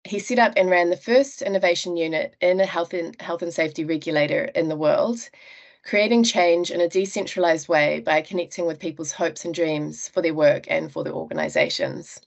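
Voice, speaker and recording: A woman introducing a speaker as host of a webinar, in a measured, formal way, on a video call. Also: on the low side, lively intonation, creaky. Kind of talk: scripted introduction.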